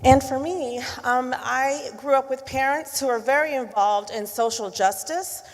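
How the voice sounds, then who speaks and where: Higher-voiced woman panelist, hall reverb. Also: a little slow. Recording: long reverb of a large hall.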